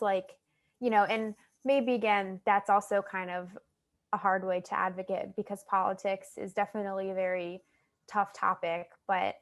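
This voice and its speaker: A young woman with an American accent, a guest talking about her own experiences in a relaxed, chatty way with many fillers. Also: mid-range voice. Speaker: guest, stuttering advocate. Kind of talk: casual interview chat.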